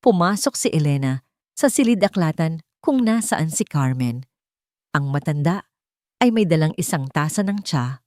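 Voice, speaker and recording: Low, mellow woman storyteller. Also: lively intonation, normal speed, no echo, clean studio sound. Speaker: storyteller narrating a drama story. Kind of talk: scripted dramatic narration.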